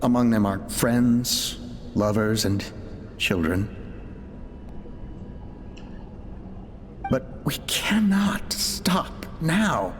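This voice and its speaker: An actor playing a grave, fanatical male researcher in a video game, reading out his secret log in a measured, solemn, grandiose way. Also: medium pitch, lively intonation, noisy background. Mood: grave, determined. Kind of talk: dramatic game voice acting, recorded log. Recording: long reverb, music behind. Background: music.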